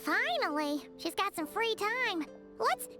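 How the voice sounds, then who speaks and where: A woman's voice: very high-pitched, very animated, takes it slowly, pushed and bright, soft background music, heavily acted. A woman voicing a small, eager, childlike fairy companion in a video game, exclamatory and caring. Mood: impatient. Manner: refers to self in third person, exclamatory. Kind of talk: video game character acting.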